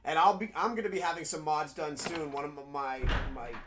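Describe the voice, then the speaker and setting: A raspy, slightly nasal man with a New York accent, sitting a bit high in his range as he rambles through live gameplay commentary. He talks loosely and chattily, leaning on fillers like "um" and "like." Clear game music plays behind him. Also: a little fast. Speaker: game streamer.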